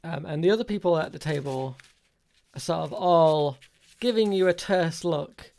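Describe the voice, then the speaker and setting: A man's voice: a little high, moderate pace, gravelly, darkish tone. A man improvising narration as game master of a tabletop game, hesitant and thinking aloud.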